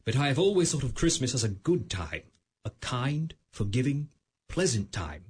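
An earnest breathy young nephew. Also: male voice, medium pitch, big pitch swings, normal speed. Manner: earnest, pleading. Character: warm cheerful young man, the nephew. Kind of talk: radio play acting.

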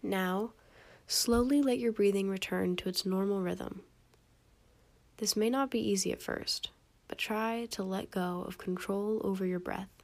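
A young adult woman reading a scripted guided meditation in a calm, gentle, measured way, with soft phrase endings. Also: mid-range voice.

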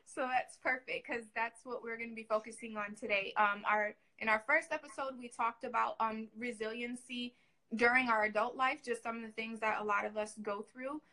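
Woman, livestream host, casual interviewing style, crisp bright tone, fairly high pitch. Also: steady tone, normal speed, low-quality recording.

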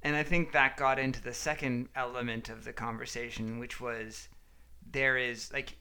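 A man talks casually as a podcast co-host. His voice is bright and clear, with a creaky edge. He rambles and halts, feeling for the right words as he goes. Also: mid-range voice, level tone. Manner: rambling, halting, searching for words. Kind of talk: casual chat, storytelling.

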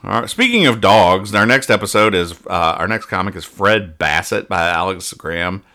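Male podcast host, deep but punchy and bright, mock-earnest explainer with stumbling, casual delivery. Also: animated, moderate pace. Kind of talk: casual comic-strip review banter.

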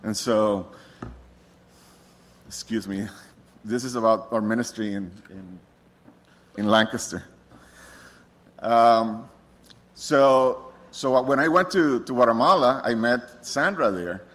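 A man's voice: medium pitch, takes it slowly, pauses often. Middle-aged guy, easygoing church talk, jokes around, trips over his words a lot. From Costa Rica. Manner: relaxed, joking, many restarts. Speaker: missionary speaker. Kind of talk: personal testimony to a congregation.

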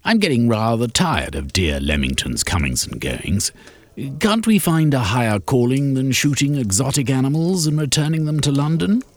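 A man doing a huffy, pompous club gentleman. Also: mid-range voice, sing-song, moderate pace, no echo, pitched above the performer's own voice. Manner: pompous, petulant. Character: vain touchy gentleman club member, male.

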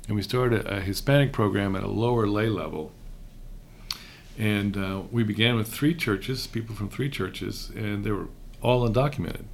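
A middle-aged man from Houston hosting a relaxed conversation, chatty with frequent hesitations. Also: fairly low pitch, normal speed, gravelly, a little hiss or hum. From raised in Houston. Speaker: host, seminary professor. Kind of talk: casual conversation.

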